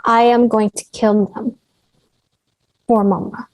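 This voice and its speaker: A woman reading the part of a young Vietnamese woman recalling her childhood, earnest and halting. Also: a little high, steady tone, vocal fry, a little mellow, no echo, rough recording. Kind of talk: table read of a drama script.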